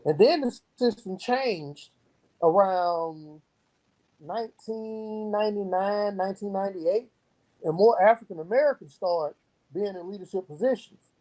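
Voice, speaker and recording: Mellow soft-edged guy being interviewed, really earnest, emphatic, kinda preachy delivery. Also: high-pitched, unhurried, low-quality recording. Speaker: guest, youth program founder. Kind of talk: earnest interview talk with storytelling.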